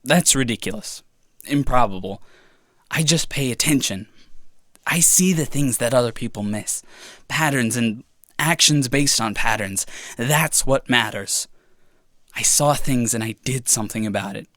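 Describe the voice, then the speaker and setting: A man's voice: medium pitch, measured pace, no room sound, clean studio sound, natural voice. A man playing a brooding young student loner. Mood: pensive. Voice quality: soft-spoken, reflective, hesitant. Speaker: student character. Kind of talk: audio drama monologue. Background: music.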